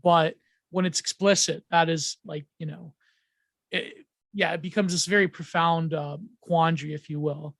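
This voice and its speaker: A man with a high voice talks as a podcast guest. He rambles and restarts, with plenty of ums. The sound is dry and close. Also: fairly even intonation, normal speed, fairly bright tone, dry recording. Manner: rambling, many ums and restarts, laughs often. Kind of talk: casual podcast chat.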